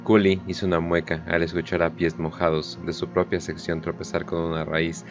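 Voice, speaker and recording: A man's voice: low voice, little pitch movement, moderate pace, dull audio, music in the background. A man narrating a scripted war story. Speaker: audiodrama narrator.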